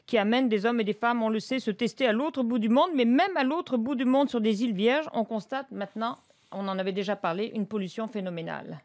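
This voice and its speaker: A woman's voice: a little high, fairly quick, darkish tone, no echo. A middle-aged woman giving a scripted radio review with opinionated, emphatic asides. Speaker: radio TV critic and columnist. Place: radio studio. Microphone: close studio microphone.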